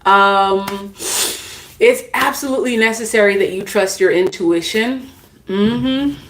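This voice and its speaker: A woman's voice: mid-range voice, takes it slowly. A woman whose first language is not English, casually chatting with viewers in a live stream as a tarot reader, greeting them by name and laughing at herself.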